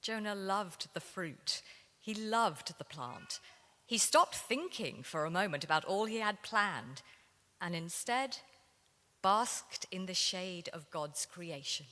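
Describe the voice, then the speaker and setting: Slow, bright-voiced woman storytelling in a hall. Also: lowish voice, expressive. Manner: rhythmic, deliberate storytelling. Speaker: reader in a dramatic reading. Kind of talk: dramatic spoken word recitation. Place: large reverberant temple hall. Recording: long hall reverb.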